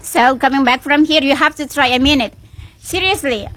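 A woman hosts a relaxed radio chat in English. Her voice sits a little high, and she keeps encouraging her guest. She talks loosely, restarting phrases and filling the gaps as she goes. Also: moderate pace. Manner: chatty and encouraging, many restarts and fillers. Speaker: radio show host. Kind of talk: casual on-air chat with a guest.

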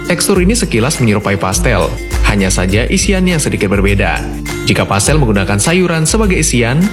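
A man's voice: on the low side, very animated, a little fast. A man reading a scripted, informative radio feature as narrator. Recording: music bed behind narration.

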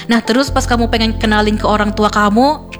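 A woman's voice: on the high side, fairly even intonation, brisk, a bit bright, soft background music. A young woman hosting a radio show in a studio, talking in a chatty, giggly way full of fillers. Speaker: radio host. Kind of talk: casual radio chat.

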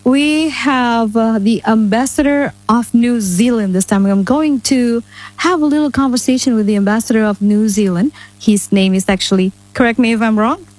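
A woman's voice: a little high, moderate pace, darkish tone, dry recording, low-quality recording. A woman hosting a casual radio interview in a studio, chatty, with frequent "uh" and tag questions. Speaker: radio host.

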